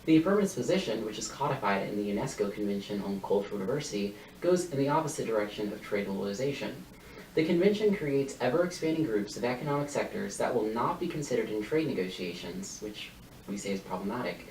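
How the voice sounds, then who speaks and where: A man's voice: medium pitch, a little mellow, roomy sound. Young man, university debater, formal prepared speech, argumentative, point-by-point delivery, distant microphone, faint background noise. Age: young adult. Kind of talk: competitive debate speech, reading prepared arguments and quoted evidence.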